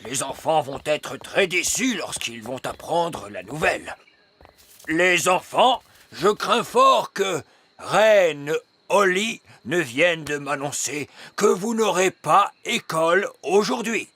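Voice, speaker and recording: A man's voice: fairly high pitch, expressive, slow pace, exaggerated voice. A man playing a fretful, solemn and formal old elf schoolmaster in a children's cartoon. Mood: dismayed. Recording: loud music behind the voice.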